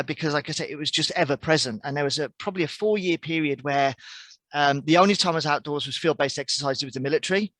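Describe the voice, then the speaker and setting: A man's voice: a little high, fairly bright tone, no echo. A man in his forties from the English Midlands, with an English accent, answering interview questions on a video call in a reflective, earnest way. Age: 40s. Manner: reflective, earnest, with frequent restarts and fillers. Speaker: podcast guest, outdoor coach.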